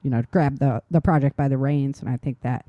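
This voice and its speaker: A woman's voice: rumbling low, fairly even intonation, a little fast, no room sound, lo-fi sound. A woman co-hosting a show in a casual, chatty way, with an informal and enthusiastic manner. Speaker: podcast co-host and novelist. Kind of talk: casual chat.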